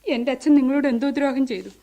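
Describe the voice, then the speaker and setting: An actress playing a distressed young wife in a radio drama, pleading plaintively in a southern Kerala Malayalam accent. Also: female voice, high voice, rapid-fire, creaky, mellow. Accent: Kerala Malayalam, southern Travancore. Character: distressed, pregnant young wife, caught between family and husband. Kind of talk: mid-century Indian radio drama acting.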